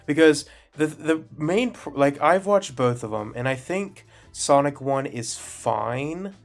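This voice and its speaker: A young man co-hosting a casual podcast, giving measured opinions and hedging often. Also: a little high, expressive, gravelly, faint music behind. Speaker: podcast co-host. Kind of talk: casual chat.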